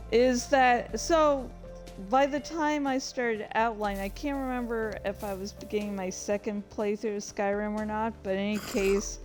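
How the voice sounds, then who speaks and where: A woman giving casual, rambling commentary as a writer, halting and self-deprecating, with some swearing. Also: medium pitch, steady tone, faint music behind. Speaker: writer reading and commenting on own story.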